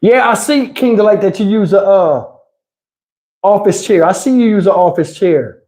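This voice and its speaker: A man's voice: sits high, animated, normal speed, darkish tone. A man hosting a livestream, chatting with viewers in a slangy, profane, rambling way. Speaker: livestream host. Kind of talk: casual livestream chat with viewers.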